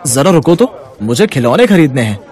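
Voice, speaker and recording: A man's voice: medium pitch, sing-song, normal speed, a little mellow, natural voice. A man playing a kind young customer who firmly defends the poor, righteous in manner, in a moral-story cartoon. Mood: friendly.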